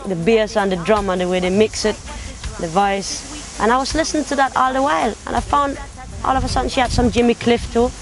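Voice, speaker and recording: A woman's voice: on the high side, fairly even intonation, a little fast. A young woman from Denmark speaks in a talkative, casual way, her English mixed with patois turns of phrase. She sounds like a competition finalist telling her own story. Faint music plays behind her. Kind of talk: casual interview.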